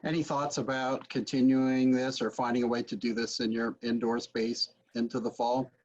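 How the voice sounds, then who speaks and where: A man's voice: medium pitch, little pitch movement, normal speed, darkish tone. A man in his forties casually asking questions as moderator of an online session, over a video call. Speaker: webinar moderator. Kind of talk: casual interviewing. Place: book-lined home study, video call. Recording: dull, lo-fi video-call audio.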